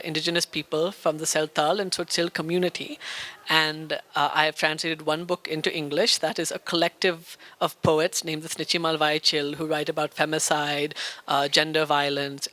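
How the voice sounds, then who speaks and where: Male panel moderator, Indian American, eager and list-heavy, sharp bright voice. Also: on the high side. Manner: enthusiastic, flowing lists. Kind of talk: festival panel introduction.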